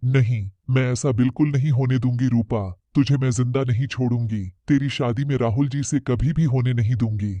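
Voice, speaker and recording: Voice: medium pitch, fairly even intonation, normal speed, warm tone, dry recording, natural voice. A performer voicing a vengeful female ghost witch, a murdered wife, in a cartoon horror story. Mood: vengeful, defiant. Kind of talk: Hindi cartoon horror voice acting.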